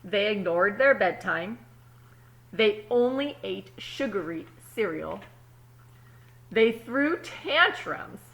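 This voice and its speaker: A woman voicing an earnest, dutiful little egg in a children's storybook, telling its own tale with drawn-out emphasis. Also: a little high, vocal fry, bright, forceful tone, full character voice, poor audio. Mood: exasperated. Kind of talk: first-person children's storybook narration.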